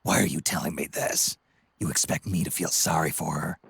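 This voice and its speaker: An actor playing a gruff, weary, suspicious veteran soldier in a video game, terse and blunt with a raspy growl. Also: male voice, a bass voice, fairly even intonation, a little fast, a bit bright, no room sound, clean studio sound, full character voice. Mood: weary, curt. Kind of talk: video game cutscene voice acting.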